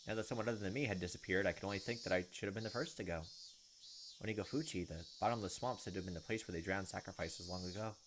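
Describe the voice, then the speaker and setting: A man's voice: low voice, very fast. Young man narrating over noisy ambience. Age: young adult. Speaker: gaming video host. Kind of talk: reading visual novel narration aloud, with casual asides.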